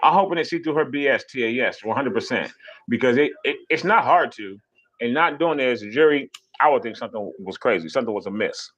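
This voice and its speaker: A young man ranting on a live stream in a casual, profane way. His pitch sits mid-range, and he jumps into high, mocking voices when he imitates people. He talks animated and blunt, with loose restarts. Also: brisk, dull audio.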